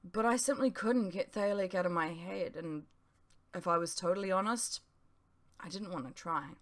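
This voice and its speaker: A woman reading her own novel aloud as expressive first-person narration. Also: on the low side, moderate pace. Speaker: author reading own audiobook.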